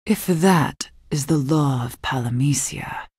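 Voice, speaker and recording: A woman's voice: low-pitched, a little mellow, slightly rough, heavily acted. An actress playing a sorrowful, resolute exiled witch in a video game, grave and weary, with solemn, formal delivery. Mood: sorrowful, bitter. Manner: solemn, slow, measured delivery with formal wording. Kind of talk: video game cutscene voice acting. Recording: music behind the voice.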